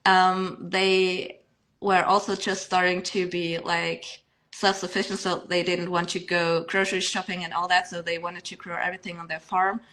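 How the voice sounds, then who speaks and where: Young woman guest, bright tone, chatty with restarts and laughs. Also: on the low side, level tone, moderate pace, low-quality recording. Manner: chatty, laughs often, many fillers and restarts. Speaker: podcast guest. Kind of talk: casual podcast chat.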